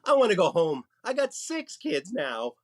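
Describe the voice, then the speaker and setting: An actor playing an impatient, sarcastic and worn-out department store clerk in an old-time radio comedy. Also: male voice, sits high, huge pitch swings, normal speed, full character voice. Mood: exasperated.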